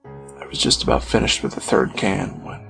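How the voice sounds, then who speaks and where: A man's voice: moderate pace, fairly bright tone, faint music behind. A man reading a scripted first-person horror story as its author and narrator.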